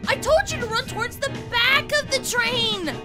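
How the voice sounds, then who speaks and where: An actor playing an exasperated, bossy, proper little boy detective in a comic fan dub, shrill and scolding. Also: moderate pace. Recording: loud music under the voice.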